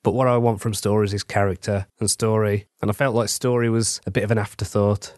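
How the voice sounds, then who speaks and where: A man's voice: fairly low pitch, flat delivery, a little fast, darkish tone, dry recording, studio quality. A middle-aged English man with a cold, chatting casually through a weekly update on his writing. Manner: chatty, conversational, self-deprecating asides. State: congested from a cold. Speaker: fantasy author.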